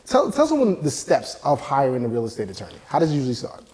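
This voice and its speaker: A man's voice: medium pitch, wildly swooping pitch, fast, a little mellow, big-room sound. A man hosting a casual podcast interview, friendly and repeating phrases for emphasis. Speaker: podcast host.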